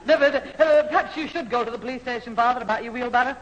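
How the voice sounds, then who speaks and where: A woman's voice: fairly high pitch, fast, close to an everyday voice. A woman playing a fretful grown daughter and mother in a 1970s radio sitcom. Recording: old noisy radio broadcast, roomy hall.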